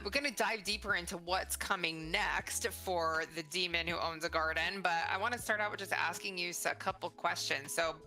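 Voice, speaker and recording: A woman's voice: fairly low pitch, normal speed, hardly any pauses. A middle-aged woman hosting a casual conversational interview in an enthusiastic, encouraging way. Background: faint music.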